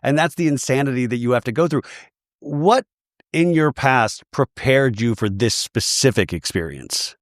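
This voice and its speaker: A man hosts a podcast interview, chatty and quick to laugh, steering the talk with leading questions. His voice is mid-pitched and recorded close in a dry space. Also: lively intonation, no room sound. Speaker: podcast host. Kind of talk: conversational interview.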